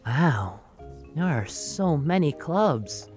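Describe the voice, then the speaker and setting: Woman as a low-voiced, lively teen boy. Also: slow pace, faint music behind, full character voice. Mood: upbeat, joking. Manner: upbeat, chatty. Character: friendly, eager, self-effacing teenage freshman boy. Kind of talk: animated roleplay voice acting.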